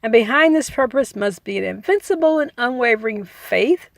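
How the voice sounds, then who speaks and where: A woman's voice: fairly high pitch, lively intonation, normal speed, creaky, no echo. A woman reading a book aloud in an emphatic, instructive way, repeating key phrases and adding informal asides. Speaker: audiobook reader.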